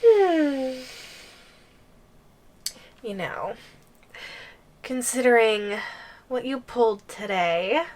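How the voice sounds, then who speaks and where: Woman playing a slow-talking, coy yandere giantess girlfriend. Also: fairly high pitch, animated. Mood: teasing, menacing. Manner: slow, giggly, coy, with lingering hums. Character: playful, possessive yandere giantess girlfriend, flustered and teasing. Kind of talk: audio roleplay acting, ASMR.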